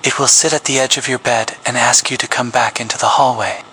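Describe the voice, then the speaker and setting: A man reading a scripted public safety announcement as an emergency broadcast announcer, in a grave, measured official tone. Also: mid-range voice, fairly even intonation, pushed and bright, a little hiss or hum, rough recording. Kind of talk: scripted horror narration.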